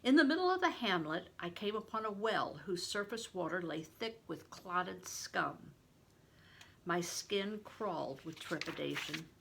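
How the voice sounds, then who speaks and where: Older woman reading aloud, slow and creaky. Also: on the low side, lively intonation, a bit bright, slight background noise, low-quality recording. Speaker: reader, retired reading teacher. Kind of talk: expressive audiobook-style read-aloud of a novel.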